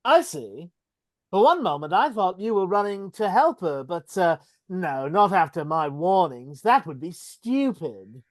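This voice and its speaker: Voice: mid-range voice, sing-song, unhurried, dry recording. A performer voicing a cruel, mocking goblin king in a live reading over a video call. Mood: cold. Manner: taunting, mocking with laughs.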